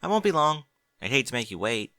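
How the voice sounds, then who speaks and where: A man's voice: medium pitch, sing-song, a little fast, sharp tone, no echo, pitched above the performer's own voice. A man voicing a fretful, bookish young pony mare, anxious and easily flustered.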